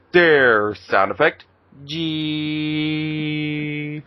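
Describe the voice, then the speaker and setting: A man's voice: a little high, fairly even intonation, drawn-out words, clean tone, no echo, muffled recording. A man reading fan fiction aloud as narrator, in a casual amateur style.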